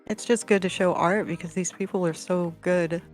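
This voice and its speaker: A woman's voice: lowish voice, steady tone, brisk, faint music behind. A woman speaking as a guest in a casual, conversational chat.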